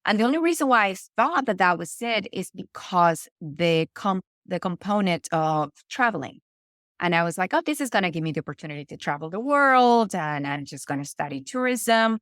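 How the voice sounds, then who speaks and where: Venezuelan-accented woman, low, dry sound. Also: animated, moderate pace, a bit bright, dry recording. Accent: Venezuelan Spanish. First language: Spanish. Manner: earnest, restarts phrases often. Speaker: podcast guest. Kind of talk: conversational interview.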